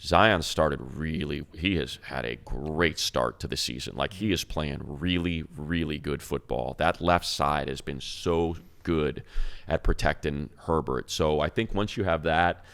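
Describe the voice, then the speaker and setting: A man's voice: extremely low pitch, a little slow, vocal fry, a bit bright. A man with an American accent giving casual football analysis as a co-host on a sports podcast.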